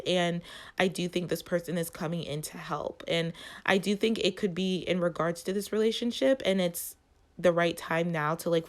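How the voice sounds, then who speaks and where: A woman reads tarot cards for her audience, her voice nasal and stuffy from allergies. She sits a little low in pitch for a woman and keeps pausing and restarting, filling the gaps with "like" as she feels her way toward the next phrase. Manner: halting, searching for words, many "like" fillers. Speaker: tarot reader and channel host. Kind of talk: spoken tarot reading to viewers.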